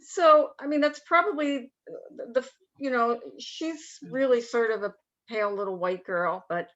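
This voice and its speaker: A middle-aged woman, mother of a grown daughter, sharing a story in a discussion on a video call, speaking in a measured way with fillers. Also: a little high, fairly bright tone. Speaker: participant. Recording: dull lo-fi call audio.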